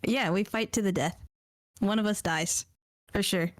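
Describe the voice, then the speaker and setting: An American woman joins a casual podcast as a guest, speaking at an ordinary middle pitch. She jokes her way through her answers and stays playful and giggly. She sounds like she is talking at home into her own streaming mic. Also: measured pace. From United States. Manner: playful, giggly, jokey self-introduction.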